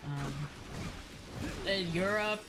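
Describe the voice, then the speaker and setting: Woman streamer chatting over clear background music. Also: medium pitch, extremely slow. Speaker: VTuber streamer. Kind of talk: casual livestream chat.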